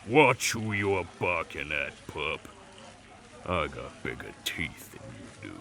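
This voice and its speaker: A man's voice: extremely low pitch, sing-song, slow pace, sharp tone, heavily acted. A man playing a cocky, menacing supernatural brawler, in an audio drama. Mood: taunting menace. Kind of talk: audio roleplay drama acting.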